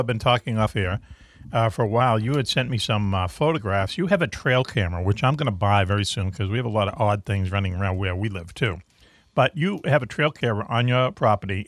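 A man's voice: medium pitch, no echo. A man hosting a talk show, interviewing a caller in a loose, conversational way and repeating words as he starts sentences. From New England. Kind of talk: casual interview.

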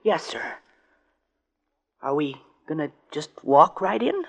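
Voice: medium pitch, sing-song, slow, gravelly, full character voice. A performer playing an eager, slangy teenage boy assistant in an old-time radio detective drama. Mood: eager, nervous. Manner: earnest, slangy.

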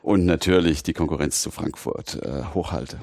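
A man's voice: a bass voice, moderate pace, a little mellow, no echo, studio quality. A man from Mainz, a guest on a podcast, speaking thoughtfully and hesitantly with many fillers. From Germany, Mainz. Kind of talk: casual podcast conversation.

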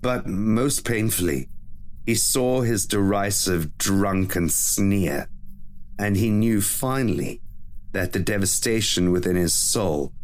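A man's voice: on the low side, slow pace. A man reading a scripted short story as a dramatic audio narrator.

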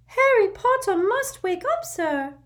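Woman doing a very high, squeaky little male house-elf, earnest and servile, mangled grammar, storybook voice. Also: very animated, unhurried, a bit bright, exaggerated voice. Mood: urgent, squeaky. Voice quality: squeaky, broken grammar, says sir constantly.

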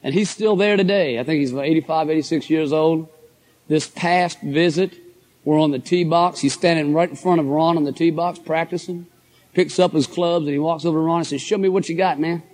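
A middle-aged man with a hoarse voice, giving an enthusiastic, folksy motivational talk to a live audience. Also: on the high side, brisk, darkish tone. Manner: folksy, enthusiastic, addresses crowd as guys and folks. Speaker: motivational speaker at a business convention.

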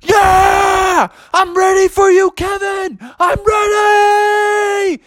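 Man playing an excitable, slangy young boxing frog. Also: on the high side, unhurried, no room sound, exaggerated voice. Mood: screaming excitedly.

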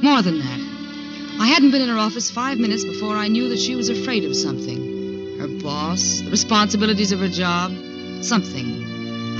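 A woman's voice: mid-range voice, lightly acted. An actress playing an ambitious, defiant young woman in advertising who tells her own story in a 1940s radio drama. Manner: dramatic, intense first-person storytelling. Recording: dull 1940s radio recording with music.

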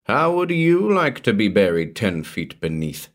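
A man voicing a showy carnival barker, loud and declamatory, for a dramatic story. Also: lowish voice, very animated, a little slow. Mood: showy hawking. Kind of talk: dramatic audiobook character reading.

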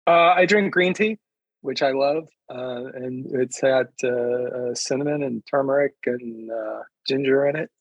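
A man speaking as an author guest in a casual chat, rambling haltingly as he searches for words. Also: medium pitch, dry recording, lo-fi sound.

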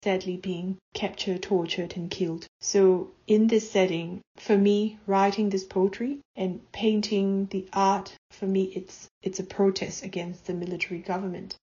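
A woman's voice: medium pitch, dark tone, dull audio. A woman from Myanmar with a Burmese accent, a native Burmese speaker, explaining her work as a guest poet. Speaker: guest poet and visual artist. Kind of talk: explanatory talk introducing own work.

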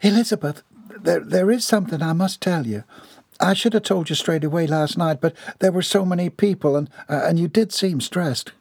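A man's voice: fairly high pitch, moderate pace, creaky, a little mellow, slightly rough, no room sound, clean studio sound, voice pushed up. A man playing a fretful, fond older lady. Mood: confiding. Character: worried kindly older woman of the house.

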